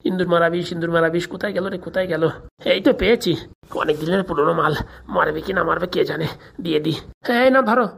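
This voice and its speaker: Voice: medium pitch, fast talker, creaky, a bit bright, husky. A performer voicing a sly, grumbling village shopkeeper in a comedy cartoon, chatty and muttering, with a rural Bengali dialect. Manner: chatty, muttering asides, cautioning.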